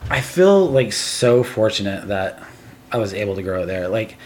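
A man's voice: fairly low pitch, sing-song, normal speed, echoey room, slight background noise. A man in his thirties who grew up in Taiwan with Chinese as his first language, a podcast guest rambling casually with lots of filler words. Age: 30s. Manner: rambling, filler-heavy, laughs between thoughts. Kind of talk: casual chat.

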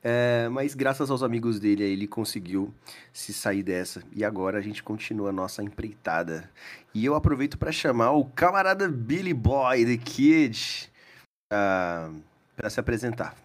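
A man's voice: medium pitch, animated, moderate pace. A man with a Brazilian accent talking casually as a player on a role-playing podcast. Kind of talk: casual chat introducing a game character.

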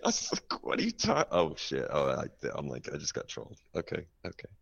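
A man with a very deep, fry-heavy voice chats casually and swears freely as he tells stories in an animated way. The sound is close and dry but slightly muffled. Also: fairly quick, no room sound. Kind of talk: casual podcast chat.

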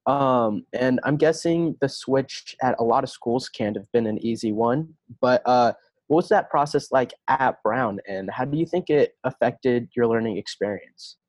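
A man co-hosting a podcast, asking a guest questions in a measured way, with "uh" and "um" fillers. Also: mid-range voice, darkish tone, no room sound. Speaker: podcast co-host. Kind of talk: interview questions.

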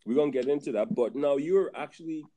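A man hosting a casual podcast, upbeat and encouraging, with quick interjections to his guest. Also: on the high side, mellow. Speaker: podcast host. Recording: faint background noise.

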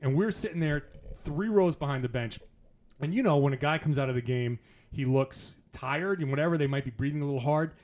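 A man's voice: a little high, fairly quick, a little mellow. A man hosting a casual podcast in person, chatty and laughing often, with frequent restarts. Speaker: podcast host. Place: in-person recording in Las Vegas.

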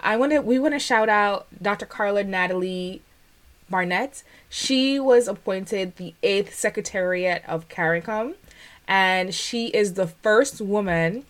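A woman co-hosting a podcast in casual chat, talkative and quick with asides. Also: mid-range voice. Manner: chatty, sprinkles in "like" and quick asides. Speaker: podcast co-host.

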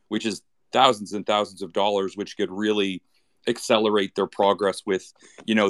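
A man's voice: fairly low pitch, fairly bright tone, no echo. A man from Canada chatting casually, rambling with many fillers and restarts. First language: English. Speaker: guest. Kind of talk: casual chat in an online audio space.

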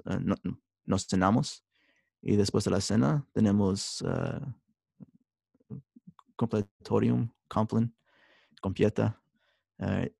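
A young man, a religious brother studying for the priesthood, speaking Spanish as a third language and answering in a halting way with many restarts. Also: lowish voice, creaky, a little mellow, husky, no room sound, clean studio sound. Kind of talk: interview answers.

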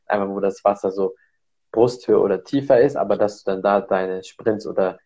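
A man's voice: on the low side, steady tone, normal speed, dark tone, slightly rough, highs cut off. A man hosting a casual podcast who explains exercises at length with many fillers. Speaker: podcast host.

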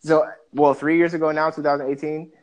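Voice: medium pitch, little pitch movement, brisk, a little mellow. Profane ranting podcast co-host from the Bahamas. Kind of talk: casual podcast discussion.

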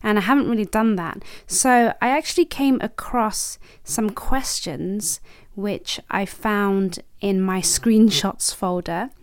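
A woman hosting a podcast, who speaks gently and encouragingly and laughs easily while she guides a reflection and an interview. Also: medium pitch, clear, resonant tone, no echo, clean studio sound. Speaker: podcast host.

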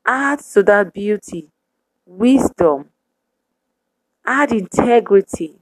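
A woman preaching a sermon to women, speaking in measured, emphatic repetitions. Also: medium pitch, no echo, poor audio.

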